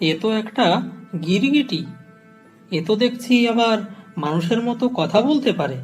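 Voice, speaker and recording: Man as high-voiced kindly young traveller. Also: big pitch swings, moderate pace, faint music behind. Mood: puzzled, surprised. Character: helpful, selfless young male traveller. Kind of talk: cartoon voice acting.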